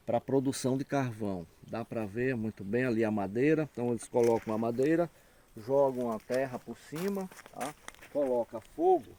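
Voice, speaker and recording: A man's voice: medium pitch, warm tone. A man with a northeastern Brazilian accent gives an unscripted, explanatory tour outdoors as a local guide, speaking didactically with frequent check-in tags. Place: outdoors in open rural land. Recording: clearly noisy outdoor field recording with clicks.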